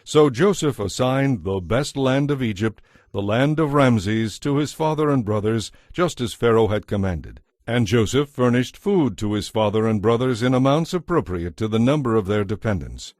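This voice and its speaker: A man narrating scripture from a script in a measured, solemn manner. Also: medium pitch, animated, darkish tone, no room sound, studio quality. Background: music.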